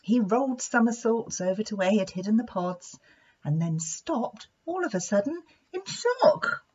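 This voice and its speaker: A woman reading a children's story aloud in an expressive, deliberate storytelling manner. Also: a little high, husky. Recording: dull lo-fi sound.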